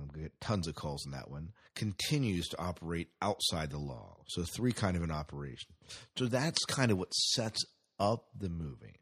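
Low, husky-voiced American male podcast host. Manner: informal, hesitant, self-correcting. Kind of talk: casual sci-fi news and movie segment talk.

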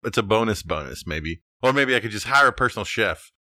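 A man's voice: on the low side, expressive, a little fast, bright, forceful tone, no echo, clean studio sound. A man co-hosting a podcast in casual, playful, joking chat. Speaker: podcast co-host.

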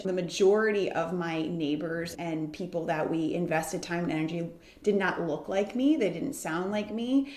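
Casting: woman guest, mid-pitched, earnest flowing storyteller, slightly echoey room. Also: darkish tone. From United States. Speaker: interview guest. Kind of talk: reflective interview talk. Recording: roomy echo.

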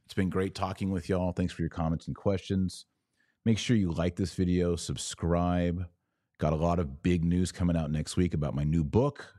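A man's voice: low-pitched, darkish tone, no room sound, studio quality. An American man hosting a religious podcast, talking informally to online viewers in an emphatic way with repeated phrases and rhetorical questions.

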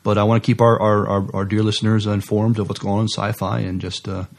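Halting, lowish-voiced male podcast co-host. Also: flat delivery, gravelly. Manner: halting, hesitant. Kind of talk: casual podcast chat.

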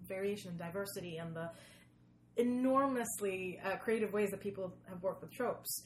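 A woman's voice: medium pitch, moderate pace. A woman from Sweden, chatting casually as an interview guest, searching for words and repeating for emphasis. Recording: echoey room with background noise.

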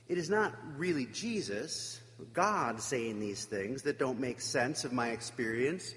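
A man preaching a reflective sermon, emphatic at times and pausing for effect. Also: mid-range voice, expressive, fairly bright tone, long reverb tail. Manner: reflective, emphatic with dramatic pauses.

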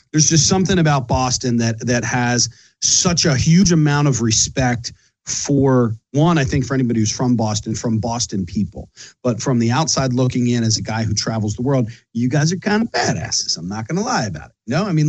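A man's voice: medium pitch, lively intonation, fairly quick, a little mellow, poor audio. Rambling Philly-accented male interviewer. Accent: Philadelphia. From Philadelphia. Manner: rambling, emphatic, frequent restarts and swearing. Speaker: podcast host. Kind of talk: casual interview chat.

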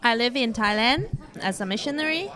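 A woman's voice: fairly high pitch, lively intonation, brisk, sharp tone. A woman missionary who speaks in simple, hesitant phrases.

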